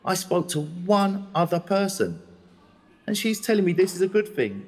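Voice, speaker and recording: A bitter, outspoken English prisoner speaks with a husky, low voice in an audio drama. His pitch swings widely and a long reverb hangs behind him. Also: very animated, moderate pace. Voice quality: bitter, urgent.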